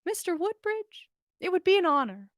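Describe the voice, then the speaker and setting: Woman acting dreamy young woman, very high voice, solemn, earnest, softly romantic, storybook, dry close sound. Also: sing-song, normal speed, no echo. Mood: happy, dreamy. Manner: solemn, utterly honest. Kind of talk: fan fiction story reading.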